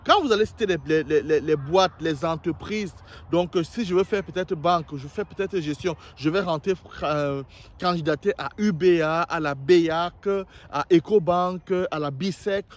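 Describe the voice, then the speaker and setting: A man's voice: on the high side, moderate pace. Hesitant adviser, man. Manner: explanatory, addresses viewers directly, frequent hesitations and restarts; acts out example sentences in the first person. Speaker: visa application adviser. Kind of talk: spoken tutorial on writing a motivation letter.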